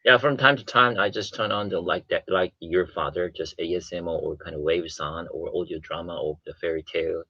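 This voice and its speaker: A man chatting casually as a radio panelist, with halting, fragmented phrasing. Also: deep voice, steady tone, sharp tone, slightly rough, no room sound, lo-fi sound. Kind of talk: casual studio chat. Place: radio studio.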